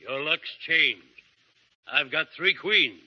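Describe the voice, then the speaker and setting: An actor playing a sly, cold-blooded frontier villain in a 1930s radio drama, taunting and menacing. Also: male voice, fairly high pitch, expressive, takes it slowly. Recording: muffled 1930s radio broadcast.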